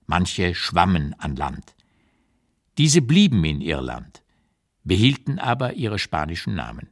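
A low-voiced, expressively intoned older male memoirist. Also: full character voice. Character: aged male writer looking back, blunt, keenly observant memoirist. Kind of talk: literary reading, scripted radio monologue.

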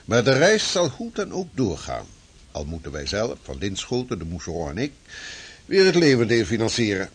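An actor playing a shrewd 16th-century preacher who speaks in a lively, formal, old-fashioned way. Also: male voice, on the low side, normal speed, breathy edge, full character voice. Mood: earnest. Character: shrewd 16th-century Dutch preacher, fierce against Spain. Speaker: preacher and cartographer. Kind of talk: radio drama acting.

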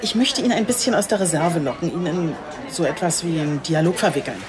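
A woman's voice: on the low side, brisk, crowd noise, natural voice. A woman playing a police chief inspector in a radio drama, articulate, earnest and matter-of-fact. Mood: determined, earnest. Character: determined, composed female police chief inspector. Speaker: police detective. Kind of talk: scripted radio drama dialogue.

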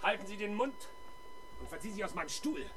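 A man playing a gruff, sardonic senior police detective in a 1970s German radio crime play, brusque and commanding. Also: high voice, lively intonation, fast, a bit bright, exaggerated voice. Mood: irritated.

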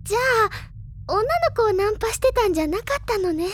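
An actress playing an excitable, bookish high school girl in an audio drama, expressive and easily flustered. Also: quick pace. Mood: curious, earnest. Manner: expressive, stammers when flustered. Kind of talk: animated Japanese audio drama acting.

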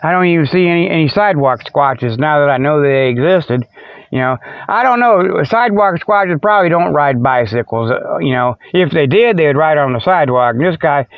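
A man's voice: on the high side, animated, fairly quick, clean tone, no echo. A middle-aged American man hosting a podcast, delivering a folksy, colloquial, rambling comedic monologue as casual chat. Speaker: podcast host. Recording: slightly dull lo-fi, dry sound.

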